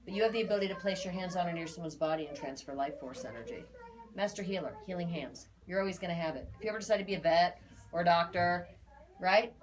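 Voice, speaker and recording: A woman's voice: on the low side, quick pace. A woman in her forties giving an informal spoken reading to clients, chatty and emphatic, repeating key phrases. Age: 40s. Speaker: aura reader.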